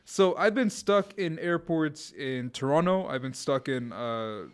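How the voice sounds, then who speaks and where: A young man from Nigeria with a Nigerian accent, co-hosting a casual podcast with lively, slangy banter. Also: fairly high pitch, unhurried, clean tone. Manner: animated, laughing, slangy banter. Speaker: podcast co-host. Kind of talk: casual chat.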